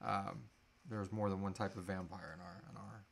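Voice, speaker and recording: Low-voiced man chatting casually. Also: fairly even intonation, normal speed, vocal fry, slightly rough. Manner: joking, informal. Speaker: host of a live Q&A, audio drama creator. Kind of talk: casual chat, answering audience questions. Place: small room at home, indoors, seated.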